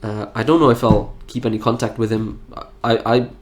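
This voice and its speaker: A man's voice: medium pitch, steady tone, a little fast, slight background noise. A nasal-sounding man with the flu and a stuffy nose, talking frankly and reflectively in a rambling personal monologue. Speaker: health vlogger.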